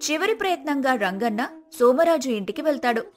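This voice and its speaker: A woman's voice: high-pitched, expressive, brisk, soft background music. A woman reading scripted narration for a folk tale as the storyteller.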